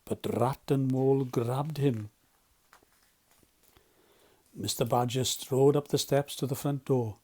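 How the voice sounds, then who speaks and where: A man with a soft, mellow tone and a middle-pitched voice reads a classic children's tale. He narrates it expressively, as a storyteller would. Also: steady tone, slow pace, pauses often. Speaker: volunteer storyteller.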